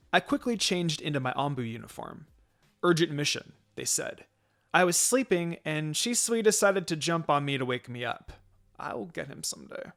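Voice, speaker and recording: A man's voice: on the high side, creaky, a bit bright. A man reading a first-person fan fiction story as scripted narration.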